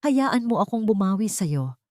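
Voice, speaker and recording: A woman's voice: mid-range voice, very animated, moderate pace, a little mellow, dry recording, studio quality, close to an everyday voice. Woman voicing an earnest, remorseful Filipino businessman. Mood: tender, pleading. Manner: earnest, pleading. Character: remorseful, devoted rich businessman in love. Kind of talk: audiobook character dialogue.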